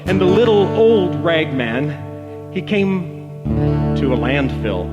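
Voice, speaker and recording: A man telling a devotional parable as a dramatic storyteller, theatrical and emotive, with weighty pauses. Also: a little high, very animated, dull audio. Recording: long reverb, music bed behind voice. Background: acoustic guitar music.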